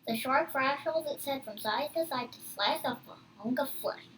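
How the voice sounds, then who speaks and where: A child reading a book aloud with casual asides, halting and stumbling over hard words. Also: high-pitched, bright, forceful tone, distant mic, a little hiss or hum, poor audio.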